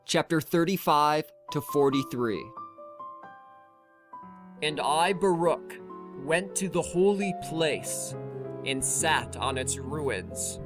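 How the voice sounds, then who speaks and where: A narrator reading a scripture audiobook in a solemn, measured, formal manner. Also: medium pitch. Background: music.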